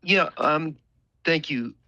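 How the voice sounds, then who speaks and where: A man's voice: fairly high pitch, slow pace, sharp tone, no echo. A male financial analyst asking an unscripted question over a dull, lo-fi conference call line.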